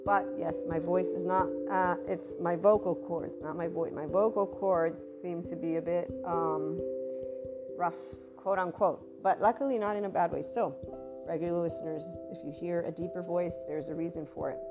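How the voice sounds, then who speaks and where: A woman hosting a spiritual podcast, talking in a rambling, chatty way with asides; her voice is a little rough and deeper than usual today. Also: fairly even intonation, normal speed, over loud music. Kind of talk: casual monologue.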